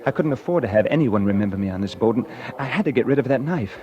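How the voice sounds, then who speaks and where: A man's voice: mid-range voice, expressive, fast talker, dull audio, a little hiss or hum, lightly acted. An actor playing a cold, calculating murderer who narrates his own crime in a confiding, suspenseful 1940s radio thriller style. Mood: tense, panicked. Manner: confiding, suspenseful, hard-boiled. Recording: vintage radio recording with dramatic music.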